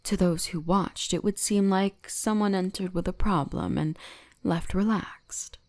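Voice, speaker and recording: A woman plays a seductive fox-spirit shrine maiden who devours souls, speaking very slowly with a creaky edge. She is teasing and gently dominant in an ASMR roleplay, often sinking into whispers. The sound is close and dry. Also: fairly low pitch, no echo. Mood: gentle, possessive. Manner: slow, intimate, teasing, often dropping into whispers.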